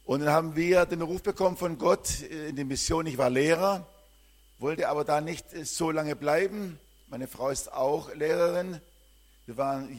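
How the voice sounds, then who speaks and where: An older man from southern Germany, a missionary, greeting a church congregation and giving a rambling personal testimony with frequent hesitations. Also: on the high side.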